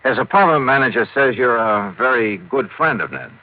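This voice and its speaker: An actor playing a tough, skeptical insurance investigator in an old-time radio detective drama, with clipped, slangy delivery. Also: male voice, mid-range voice, expressive, normal speed, natural voice. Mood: probing, terse. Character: tough, skeptical male freelance insurance investigator, world-weary. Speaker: lead actor and narrator of a radio detective series. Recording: tinny, band-limited vintage radio, music behind.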